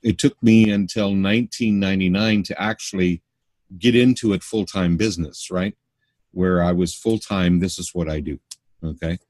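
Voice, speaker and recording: A man's voice: low-pitched, fairly even intonation, moderate pace, a little mellow, no echo. A middle-aged man from Canada, a spiritual workshop teacher, answering interview questions earnestly, often restarting his sentences.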